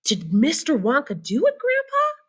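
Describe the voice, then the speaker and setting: A woman's voice: high-pitched, huge pitch swings, normal speed, a bit bright, voice pushed up, exaggerated voice. A woman voicing an eager, questioning little boy in a children's storybook. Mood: eager, amazed. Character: eager, wide-eyed little boy, the grandson. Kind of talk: children's storybook read-aloud.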